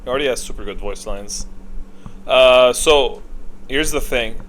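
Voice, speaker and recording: A man doing casual, unscripted tier-list commentary, opinionated and halting as he thinks aloud. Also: medium pitch, fairly bright tone. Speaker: video creator, gaming commentator.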